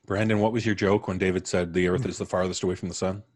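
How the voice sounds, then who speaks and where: Very deep-voiced man hosting a live stream. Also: level tone, fast, no room sound, clean studio sound. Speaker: host of a live podcast stream. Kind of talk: unscripted closing remarks to a cast and audience.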